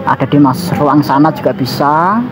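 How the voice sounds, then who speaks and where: A man's voice: fairly high pitch, moderate pace. A young man, chatting loosely in a casual vlog filmed on a phone at an outdoor cafe terrace. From Indonesia. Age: young adult. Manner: loose, rambling, mixes in local slang. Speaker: vlogger. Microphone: handheld phone camera held close. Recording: muffled phone audio, loud outdoor traffic.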